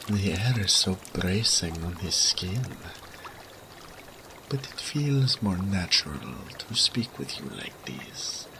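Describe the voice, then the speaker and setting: A man playing a suave, flirtatious naga oracle in a roleplay audio drama, formal and languid, purring and sometimes breathy, speaking of himself in the third person. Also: low voice, heavily acted. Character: suave, flirtatious male naga oracle, self-assured and teasing. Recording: steady water and ambience noise.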